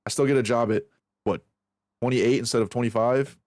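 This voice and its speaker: Young male streamer, mid pitch, loose and profane rambling, close dry sound. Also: steady tone, brisk, darkish tone, no room sound. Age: 20s. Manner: rambling, profane, self-reflective, restarts a lot. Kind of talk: casual stream chat while aim training.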